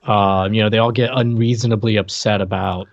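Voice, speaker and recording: A man's voice: fairly low pitch, steady tone, brisk, gravelly. A man co-hosting a podcast in casual chat, heard through a dull, lo-fi microphone. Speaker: podcast co-host. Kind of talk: casual chat about a reality TV show.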